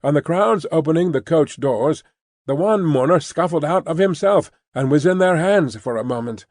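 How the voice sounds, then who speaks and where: A man reading an audiobook as scripted literary narration, in a measured, formal storytelling manner. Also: fairly high pitch, lively intonation, a little mellow, dry recording, clean studio sound.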